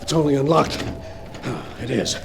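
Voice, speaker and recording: A man's voice: medium pitch, animated, moderate pace, very noisy, natural voice. A man playing a hard-boiled hunted crook. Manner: hard-boiled gangster talk. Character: tough hunted male crook, ruthless, power-hungry. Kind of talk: 1940s radio acting.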